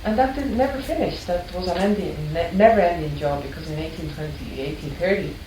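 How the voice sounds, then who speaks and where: A woman's voice: on the low side, fairly quick, recorded from a distance. An older woman from Ecuador, a longtime art history teacher, telling her story in an earnest, reflective way with frequent restarts. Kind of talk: personal storytelling interview. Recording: echoey room, mic set back, background noise.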